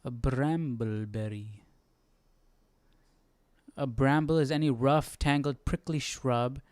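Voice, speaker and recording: A man hosting a Bible study, casually thinking aloud as he reads, haltingly asking himself questions. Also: medium pitch, a little mellow, no room sound. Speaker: host of a live Bible study.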